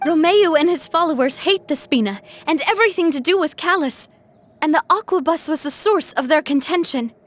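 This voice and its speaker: A woman's voice: high voice, expressive, brisk. An actress playing a confident young woman leader who talks urgently and decisively, in a game cutscene.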